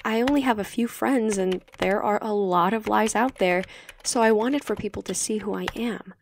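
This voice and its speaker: A woman's voice: mid-range voice, normal speed, gravelly, voice pushed up, close to an everyday voice. A woman voicing an earnest teenage girl, a sincere newcomer eager to fit in, for an audiobook. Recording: slightly noisy home recording.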